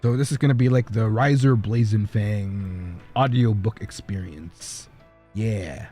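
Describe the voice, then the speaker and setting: A man's voice: lowish voice, unhurried, mellow. A male streamer chatting casually, rambling with lots of fillers and frequent laughter. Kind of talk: casual livestream chat. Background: faint background music.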